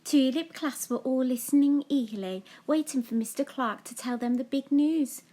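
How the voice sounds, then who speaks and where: A woman's voice: sits high, normal speed, dark tone. A woman reading a children's story aloud as a scripted storytime narration. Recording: echoey room.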